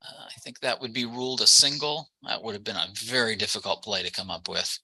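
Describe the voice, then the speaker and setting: Bright, forceful man calling baseball play-by-play. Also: on the low side, animated, brisk, dry recording, rough recording. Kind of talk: live play-by-play commentary.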